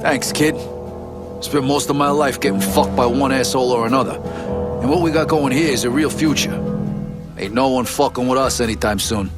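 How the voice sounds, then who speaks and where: An actor playing a gruff, foul-mouthed middle-aged mobster in a video game, blunt and streetwise. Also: male voice, mid-range voice, moderate pace, close to an everyday voice. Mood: sincere pride. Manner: blunt, heavy swearing, streetwise. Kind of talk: video game cutscene acting. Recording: music under the voice.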